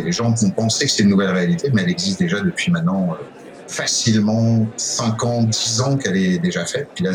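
Low-voiced middle-aged man from France. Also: poor audio. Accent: French from France. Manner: halting, digressive, frequent self-corrections. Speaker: conference speaker, cybersecurity specialist. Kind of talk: informal interview answers.

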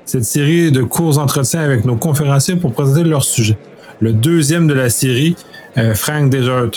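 A man's voice: mid-range voice, moderate pace, darkish tone. A man hosting an interview in a conversational style. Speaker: interviewer, host.

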